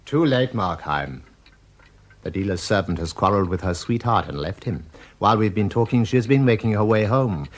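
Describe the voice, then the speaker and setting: An actor playing a sinister, persuasive tempter in a radio drama, taunting and relentless, with an insistent theatrical delivery full of probing questions. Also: male voice, low-pitched, a little hiss or hum. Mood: coldly menacing. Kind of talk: dramatic British radio play acting.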